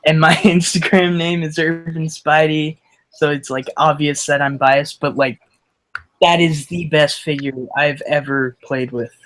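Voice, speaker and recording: A man in his late teens chatting casually, eager and quick to giggle. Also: fairly high pitch, fairly even intonation, fairly bright tone. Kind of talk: casual chat.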